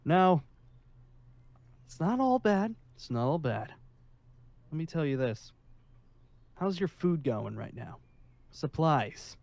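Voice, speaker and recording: A man's voice: on the high side, big pitch swings, slow, creaky, long pauses, a little mellow, dry recording, heavily acted. A man playing a smug, menacing colonel who leads a survivor haven, with a commanding, persuasive manner in improvised game roleplay.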